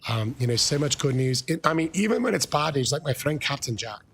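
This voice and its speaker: An Irish man streaming a video game live, chatting with viewers and thanking them. He is hoarse from losing his voice and gets so excited that he breaks into shouts. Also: mid-range voice, a little fast, a little hiss or hum. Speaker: gaming livestreamer. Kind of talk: casual live-stream chat.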